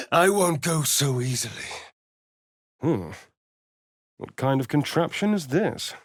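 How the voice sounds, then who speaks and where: A man's voice: mid-range voice, dry recording, clean studio sound. An actor voicing a sly, vain, sardonic male vampire spawn in a video game, with an arch, theatrical drawl. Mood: wary, pensive.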